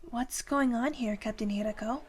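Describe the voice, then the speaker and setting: A woman's voice: on the high side, moderate pace, a little hiss or hum, lightly acted. A woman playing a timid, anxious young lieutenant with a shaky, tearful voice in an anime fan audio drama.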